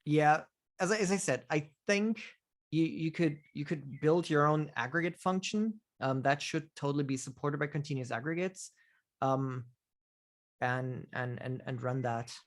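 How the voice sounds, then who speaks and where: Man, host, casual technical Q&A, halting, lots of ums and restarts. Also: a little high. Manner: halting, many fillers and restarts. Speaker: host of community office hours.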